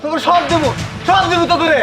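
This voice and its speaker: A helpless father, played by a man, pleading in despair. His voice runs very high. Music plays behind him. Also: steady tone, fast, creaky. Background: background music.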